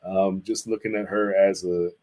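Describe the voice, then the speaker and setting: An American man chatting casually as an interview guest, enthusiastic and quick to laugh. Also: low voice, flat delivery, dark tone. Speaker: visual artist, interview guest.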